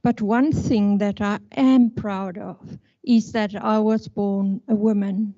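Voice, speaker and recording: A woman introducing herself on a panel in a deliberate, candid way. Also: medium pitch, fairly even intonation, warm tone. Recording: dull lo-fi sound.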